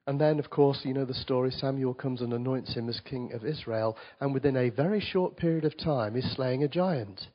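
A man preaching in church, his voice a touch croaky from a cold. He speaks at an ordinary middle pitch, chatty with the congregation and reading scripture aloud between remarks. The recording sounds dull and lo-fi. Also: a little fast, few pauses. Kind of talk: conversational sermon to a congregation. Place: church service before a congregation.

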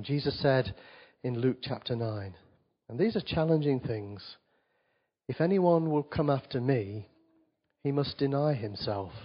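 A man preaching in church, his voice a touch croaky from a cold. He speaks at an ordinary middle pitch, chatty with the congregation and reading scripture aloud between remarks. The recording sounds dull and lo-fi. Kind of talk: conversational sermon to a congregation. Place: church service before a congregation.

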